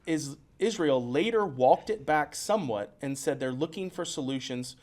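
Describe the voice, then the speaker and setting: Man reading news notes, halting. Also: a little high, lively intonation, a little mellow. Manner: stumbles over place names, halting reader. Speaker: podcast co-host. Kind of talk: casual podcast chat, reading news notes aloud.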